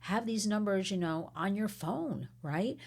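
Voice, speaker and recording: A middle-aged American woman, hoarse and losing her voice, giving an emphatic, rambling talk as an anti-trafficking advocate. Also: medium pitch, measured pace, a little mellow.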